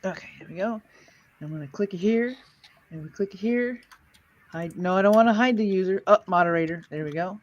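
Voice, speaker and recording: A woman's voice: mid-range voice, a little fast, highs cut off, a little hiss or hum. A woman casually hosting a livestream in a playful, chatty way. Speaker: host of an old-time radio heckling stream.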